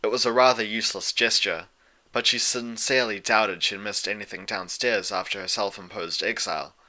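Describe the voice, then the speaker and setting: Bright, forceful male story narrator. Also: on the low side, steady tone, normal speed. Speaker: audiobook narrator.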